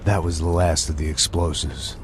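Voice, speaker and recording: An actor playing a cynical middle-aged American ex-cop, narrating his downfall in a brooding, deadpan hardboiled style for a video game. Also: rumbling low, normal speed, background noise. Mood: bitter, brooding. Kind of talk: hardboiled noir scripted narration. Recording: voice over loud music.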